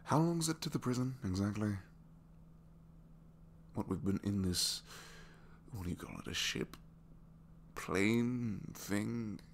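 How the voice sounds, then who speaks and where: A man's voice: fairly low pitch, slow, long pauses. A man voicing a reluctant captured supervillain, dry, sheepish and complaining, in a hesitant, rambling way, for an intimate ASMR roleplay. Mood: bored, wry. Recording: faint background noise.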